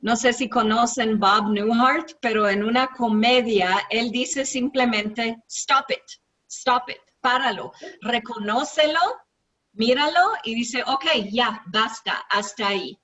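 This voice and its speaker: A woman's voice: fairly high pitch, unhurried, bright, forceful tone, recorded from a distance. A woman co-hosting an online talk who relays the other speakers' points. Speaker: co-host and interpreter. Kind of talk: casual online talk, interpreting.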